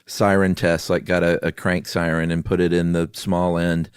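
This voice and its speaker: A man's voice: sits low, flat delivery, moderate pace, clear, resonant tone, no room sound, studio quality. A man co-hosting a podcast, talking through ideas in a casual, easygoing, informal way. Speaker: podcast co-host. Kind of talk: casual conversational explaining.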